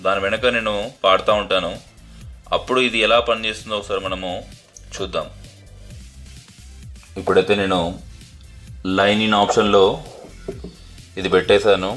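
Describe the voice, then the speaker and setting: A man's voice: on the low side, bright, forceful tone. A man narrates a casual unboxing and demo as the host, explaining in a repetitive way and mixing in English terms. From India. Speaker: product review host.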